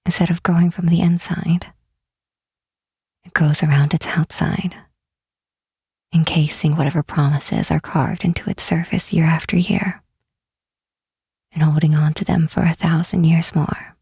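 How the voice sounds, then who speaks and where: A woman playing a gentle, wistful demon queen in a soft ASMR roleplay, speaking slowly and tenderly in a breathy, hushed voice. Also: deep voice, little pitch movement, no room sound, clean studio sound, full character voice. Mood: wistful, solemn. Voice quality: breathy, whispery. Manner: unhurried, hushed, tenderly reassuring.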